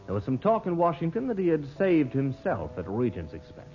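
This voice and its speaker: An actor playing an affable, apologetic gentleman reporter, courteous and easily flustered, in a 1940s radio drama. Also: fairly high pitch, huge pitch swings, a little fast. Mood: awkward, chatty. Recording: dull old radio recording, faint music.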